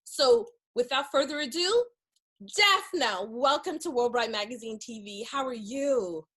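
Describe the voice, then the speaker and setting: A woman with a fairly high, husky voice hosts a magazine interview. She gushes with enthusiasm and showers her guest with compliments. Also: lively intonation, measured pace, a bit bright. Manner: effusive, gushing with compliments.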